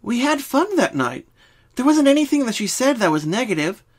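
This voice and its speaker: Sweet, earnest young female cartoon pony voiced by a story reader, high-pitched, timid, hesitant delivery. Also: sing-song, moderate pace.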